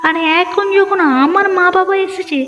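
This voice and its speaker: A woman's voice: extremely high pitch, steady tone, moderate pace, sharp tone, big-room sound, slight background noise. A woman voicing a demanding, fretful young village wife in a cartoon. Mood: bossy, nagging. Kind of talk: Bengali cartoon voice acting.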